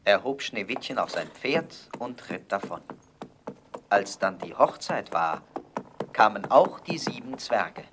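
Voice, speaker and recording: A man narrating a fairy tale in a scripted audio play with measured storytelling. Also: on the low side, lively intonation, noisy background.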